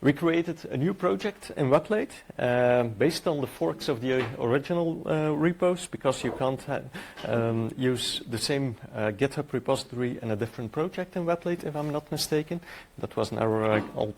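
A man's voice: medium pitch, normal speed, vocal fry. A middle-aged man whose native language is Dutch, speaking English with a Dutch accent as he presents a technical conference talk, hesitant and full of filler sounds and restarts.

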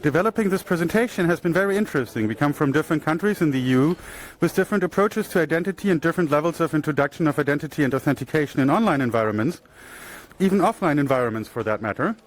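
A man's voice: fairly high pitch, expressive, racing. German man giving an earnest conference talk. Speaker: conference speaker. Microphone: handheld microphone shared with co-speaker.

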